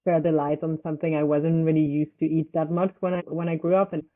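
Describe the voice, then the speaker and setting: A young woman from Switzerland, a startup founder, answering interview questions in a loose, halting way full of fillers. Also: deep voice, flat delivery. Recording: tinny phone-like line.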